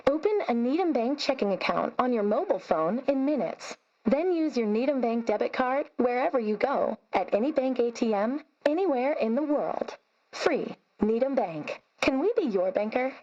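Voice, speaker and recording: High, soft-toned woman reading radio ad. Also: expressive, normal speed. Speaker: commercial announcer. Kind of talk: scripted radio ad read. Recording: dull radio broadcast sound.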